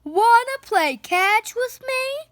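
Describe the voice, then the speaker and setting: A woman voicing a noisy, shouting young boy in a children's picture book. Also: very high-pitched, expressive, slow pace, no room sound, clean studio sound, pitched above the performer's own voice, heavily acted. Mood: eager calling.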